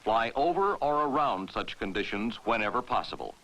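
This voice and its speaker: An American man narrating a 1960s military training film in a formal, authoritative, clearly enunciated way, on a vintage film soundtrack. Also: mid-range voice, lively intonation, moderate pace, a bit bright, rough recording.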